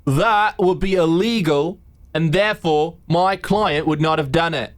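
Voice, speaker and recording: A man's voice: a little high, unhurried, natural voice. A man playing a nervous, awkward, deferential public defender in a courtroom roleplay drama.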